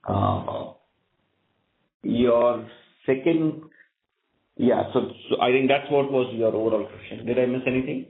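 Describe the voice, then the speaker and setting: Creaky man on an echoey phone line. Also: mid-range voice, very animated, a little slow, pauses often. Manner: frequent "right?" check-ins. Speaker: company executive answering analysts. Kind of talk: earnings call answers, explanatory business talk. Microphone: conference call line. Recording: phone-like narrow band, echoey conference line.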